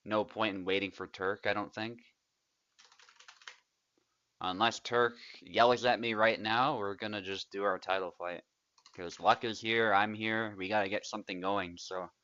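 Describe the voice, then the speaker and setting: A man's voice: fairly low pitch, no room sound, lo-fi sound. A young man, casually commentating a tournament he is also playing in, chatty and laughing often. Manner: relaxed, chatty, laughs often, trails off quietly.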